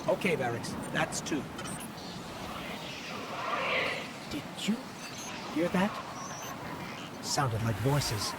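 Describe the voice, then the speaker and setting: A man's voice: medium pitch, lively intonation, slow pace, very noisy. A man playing a small, anxious and earnest robot companion in a video game, speaking in urgent, clipped phrases. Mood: tense, focused. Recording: loud music under the voice.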